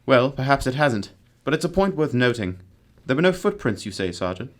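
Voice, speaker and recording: Guy doing sharp-minded gentleman detective in a radio play, mid-pitched voice, commanding and polite. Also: sing-song, natural voice. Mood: inquisitive. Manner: deliberate, authoritative, courteous. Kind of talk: radio drama acting.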